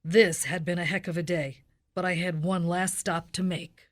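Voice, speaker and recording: A woman playing a deadpan, hard-boiled police detective in a radio cop-show parody, speaking in a clipped, officious way. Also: on the low side, moderate pace, background noise, lightly acted.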